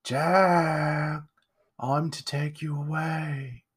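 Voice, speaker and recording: Man voicing a slow, moaning, menacing ghost. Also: fairly high pitch, fairly even intonation, husky, pitched above the performer's own voice, exaggerated voice. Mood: eerie, menacing.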